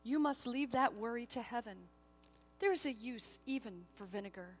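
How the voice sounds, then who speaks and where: Solemn storybook angel, played by a woman: measured, admonishing, unshakably firm, higher register. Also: animated, big-room sound, faint music behind. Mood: stern, compassionate. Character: solemn, firm male angel guarding the Christ child.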